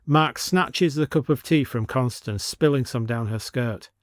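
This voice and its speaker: A male narrator reads a scripted audio story. He is measured and descriptive, with a mid-pitched voice recorded close and dry. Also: dry recording.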